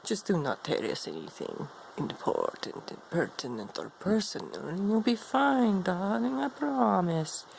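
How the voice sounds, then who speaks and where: A woman playing an ancient female vampire demon in an improvised role play, speaking in a haughty, teasing, seductive way with a gravelly voice. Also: sing-song, darkish tone, slight background noise, full character voice, low-quality recording. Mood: smug, teasing. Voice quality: gravelly, low, creaky. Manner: slow, haughty, teasing, calls listener darling. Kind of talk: improvised in-character role play monologue to viewer.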